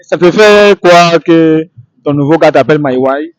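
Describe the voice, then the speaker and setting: High-voiced man hosting street interviews. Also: no room sound. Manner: repetitive, halting phrasing.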